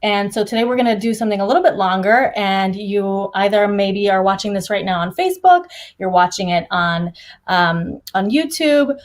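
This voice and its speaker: A young woman hosting a livestream interview, chatty and energetic, talking over a video call. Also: mid-range voice, normal speed. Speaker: host.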